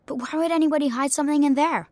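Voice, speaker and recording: A woman's voice: sits high, rapid-fire, darkish tone, lightly acted. A woman voicing an innocent, polite young boy hero with an American accent in a fantasy game. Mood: puzzled, curious. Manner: naive, questioning, earnest. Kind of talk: animated fantasy game voice acting.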